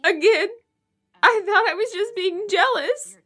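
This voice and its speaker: High, distraught, jealous woman. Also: a little slow, bright, forceful tone, exaggerated voice. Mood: hurt, accusing. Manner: sobbing, pleading, wounded and emotional. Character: Julie, distraught jealous wife or girlfriend, tearful and accusing. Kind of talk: audio horror drama acting.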